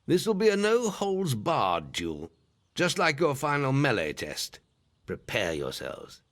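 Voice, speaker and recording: An actor playing an older, stern but kindly guildmaster in a fantasy video game. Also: male voice, medium pitch, huge pitch swings, unhurried, fairly bright tone. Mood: instructive.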